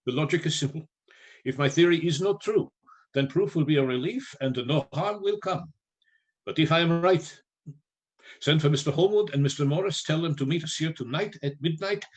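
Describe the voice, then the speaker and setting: An actor playing a learned, mysterious professor in a radio play, speaking formally and deliberately with rhetorical questions. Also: male voice, fairly high pitch, natural voice. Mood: grave, resolute. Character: grave male professor, learned, mysterious, solemnly persuasive.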